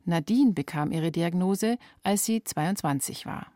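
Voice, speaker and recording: A woman's voice: on the low side, a little slow, dark tone, no echo, clean studio sound. A woman reporter reading scripted narration for a radio feature.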